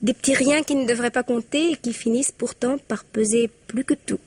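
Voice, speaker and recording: An actress in a 1950s-style French radio drama playing a cheerful, talkative and naive wife. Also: female voice, a little high, a little fast, slightly rough, no room sound. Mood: chatty. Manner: warm, talkative.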